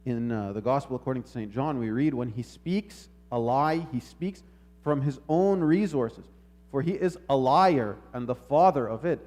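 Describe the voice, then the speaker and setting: A man giving a sermon in a measured, reflective manner, posing rhetorical questions and repeating phrases as he teaches. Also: medium pitch, darkish tone. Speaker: preacher.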